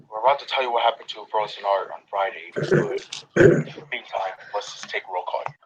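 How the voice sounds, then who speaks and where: A man's voice: on the low side, fairly bright tone, muffled recording, a little hiss or hum. A man hosting a gaming video, playfully running a mock roll call over voice chat with crude teasing. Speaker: gaming channel host. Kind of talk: joking voice chat while role-playing a roll call.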